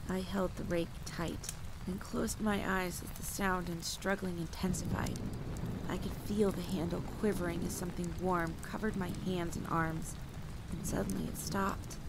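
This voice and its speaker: Woman as a creaky-voiced hunter telling grim tales. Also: medium pitch, noisy background, close to an everyday voice. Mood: grim, tense. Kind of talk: first-person scripted storytelling, audio roleplay. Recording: steady rain and campfire ambience behind. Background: rain, rolling thunder.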